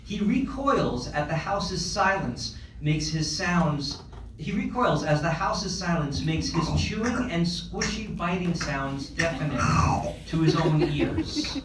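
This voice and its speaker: A man's voice: on the high side, expressive, slow, crowd noise. A man reading stage directions as scripted narration at a live screenplay table read. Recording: echoey room, distant mic, clear background noise.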